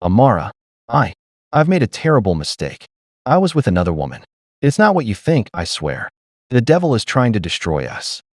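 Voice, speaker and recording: A man voicing a smooth-talking, cheating young man who pleads and apologizes in a dramatic story. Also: medium pitch, wildly swooping pitch, moderate pace, no room sound, studio quality. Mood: pleading.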